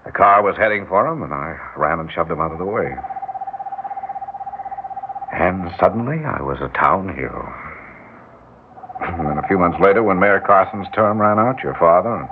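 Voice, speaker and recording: An earnest, halting small-town mayor in a vintage radio drama. His deep-leaning voice swings widely in pitch. It comes through a thin, tinny old recording. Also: background noise. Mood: wistful, modest. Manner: halting, wistful reminiscing, stammering when confused. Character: earnest small-town mayor, former drifter, devoted husband, growing bewildered.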